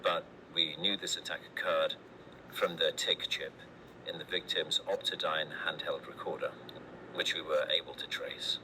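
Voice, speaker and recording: A low-pitched male doctor, played by an actor, dictates a grim horror report. He is formal, clinical and detached, choosing his words deliberately. The tape is clearly noisy. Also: steady tone. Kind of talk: scripted analog horror narration, recorded report.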